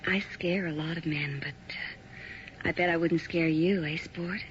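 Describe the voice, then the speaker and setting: A woman's voice: deep voice, fairly even intonation. An actress playing a mysterious, seductive woman on the phone, coy and half-whispered, in a 1980s radio drama. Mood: teasing. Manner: coy, teasing, drawn-out phrasing. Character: sultry mysterious woman caller, teasing, seductive. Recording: muffled old radio recording with hiss.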